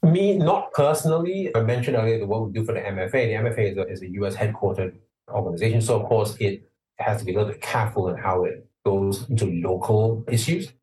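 A man from Singapore talks through his points at length, steady and explanatory, with sudden emphatic lifts. His voice sits on the low side. He sounds somewhat distant from the microphone. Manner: measured, explanatory, emphatic at times. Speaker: lawyer, interview guest. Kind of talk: interview answers.

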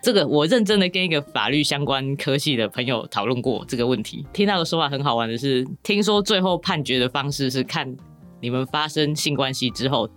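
A woman's voice: rumbling low, lively intonation, fairly quick, a bit bright, soft background music. A woman with a Taiwanese Mandarin accent chatting casually as a podcast guest, candid and wry in a colloquial way. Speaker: podcast guest, novel author.

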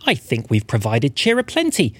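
A male storyteller with a slightly rough, husky voice and lively intonation. He delivers scripted rhyming narration dramatically, recorded close and dry. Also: mid-range voice, normal speed, no echo. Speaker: co-narrator and writer.

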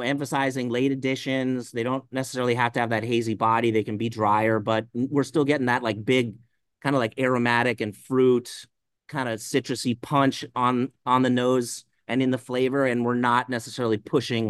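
A man's voice: mid-range voice, steady tone, normal speed, clean tone, no echo, clean studio sound. A man from the Pacific Northwest, a brewer talking shop in a casual podcast chat, rambling with lots of "you know" fillers. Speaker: brewer guest.

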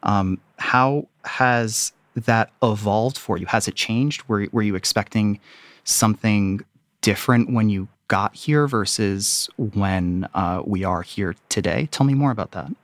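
A man guest-hosting a call-in radio show, gently asking callers questions. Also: fairly low pitch, unhurried, no echo.

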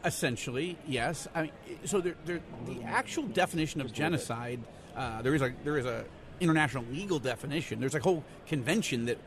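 A man giving conversational news analysis as an interview guest, halting at times and stressing key words. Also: on the high side, expressive, noisy background. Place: convention center.

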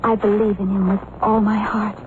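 A woman's voice: mid-range voice, level tone, moderate pace, loud music behind the voice, natural voice. A woman playing an earnest, loyal, lovesick fifteen-year-old girl, pleading, in an old radio drama. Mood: hurt, earnest. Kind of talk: 1950s radio drama acting. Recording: tinny, noisy old radio recording.

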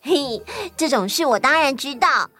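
Woman playing a high-voiced, chatty, boastful sidekick. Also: animated, normal speed, bright, forceful tone. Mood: boastful, bubbly. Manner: exclamatory, self-important. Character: chatty, boastful, whiny fashion-designer friend Xiaoxi. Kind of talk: children's audio story acting. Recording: music under the voice.

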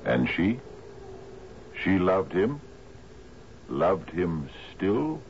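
Haunted sea captain, bereaved and obsessed, slow speech, radio drama, muffled old recording. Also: male voice, low-pitched, sing-song, a little mellow, a little hiss or hum, full character voice. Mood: stunned, grave. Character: grief-stricken, obsessed sea captain mourning lost love.

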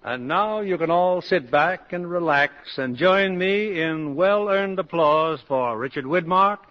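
A man's voice: on the high side, a little slow. A man hosting a 1950s radio theatre show in a polished, courteous showman style. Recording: dull 1950s radio broadcast recording.

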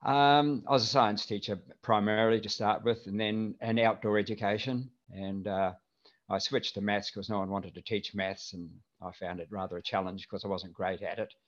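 An older man from New Zealand with a mild New Zealand accent, chatting as an interview guest in a relaxed, rambling way with lots of fillers. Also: lowish voice, moderate pace. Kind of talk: casual interview chat. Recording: dull lo-fi remote audio.

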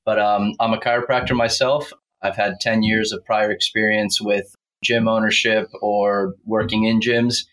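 A man from Croatia, a chiropractor and podcast guest, speaking plainly with some halting restarts. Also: fairly low pitch, flat delivery, a bit bright, poor audio. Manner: plain, halting, uses fillers. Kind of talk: casual interview answers.

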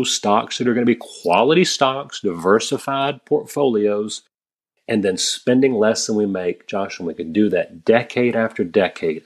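A man in his thirties, a guest on a podcast, giving energetic, emphatic advice and often calling the host "man". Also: on the low side. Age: 30s. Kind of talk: podcast conversation.

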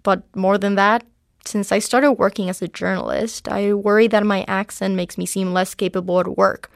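A woman's voice: medium pitch, steady tone, moderate pace, vocal fry, dry recording, clean studio sound. A woman from Panama, a native Spanish speaker with a slight accent, narrating a personal story as a journalist.

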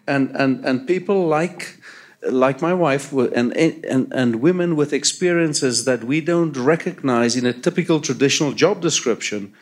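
A man with a South African accent, speaking earnestly as a transit executive on a conference panel, often repeating words as he thinks. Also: a little high. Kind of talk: panel discussion.

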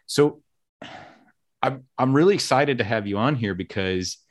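A man's voice: mid-range voice, animated, a little slow. A man hosting a casual podcast interview, talkative and upbeat, with self-deprecating jokes. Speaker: podcast host.

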